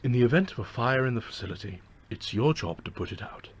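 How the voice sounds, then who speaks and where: A man's voice: medium pitch, animated, fast, slightly rough. A man playing the upbeat narrator of an old training video, explaining safety procedures. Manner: upbeat instructional delivery.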